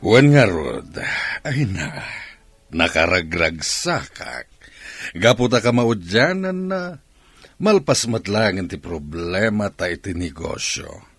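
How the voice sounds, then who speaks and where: An actor playing a self-satisfied middle-aged father with a business mind in a radio drama, raspy, with an Ilocano Filipino accent. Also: fairly low pitch, expressive, slow, fairly bright tone, dry recording, soft background music, full character voice. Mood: pleased, confident.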